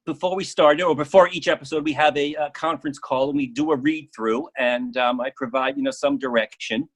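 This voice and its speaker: A man speaking casually as an interview guest about his work, often halting and repeating words as he goes. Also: mid-range voice, steady tone.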